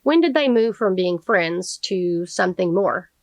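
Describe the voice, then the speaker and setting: A woman reading the part of a courteous male defense attorney, questioning a witness gently and formally as in a courtroom novel. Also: lowish voice, animated, a little slow, no echo. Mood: probing.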